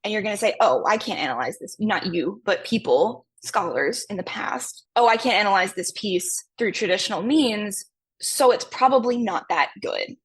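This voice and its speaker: A woman with a distinctly husky, unpolished voice at a medium pitch. She talks like an academic guest on a podcast, laying out ideas in casual, conversational language. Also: expressive, normal speed. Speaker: podcast guest, music professor.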